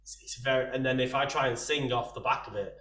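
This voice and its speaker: Soft-voiced chatty male host, echoey. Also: medium pitch, fast, distant mic. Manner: chatty, rambling, many restarts. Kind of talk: casual chat.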